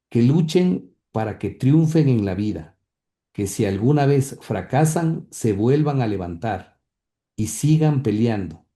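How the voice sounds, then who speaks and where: A man's voice: medium pitch, dark tone. A man giving an interview, speaking earnestly with many hesitations and repeated words, sometimes reading quotes aloud. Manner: earnest, heartfelt. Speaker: interview guest, grandson and author.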